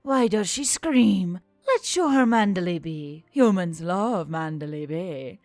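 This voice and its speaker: A woman's voice: on the low side, animated, slow, darkish tone, dry recording, faint music behind. Woman voicing a smug, mischievous Gorgon pilot. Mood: teasing. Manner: smug, teasing. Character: mischievous, witchy female Gorgon helicopter pilot.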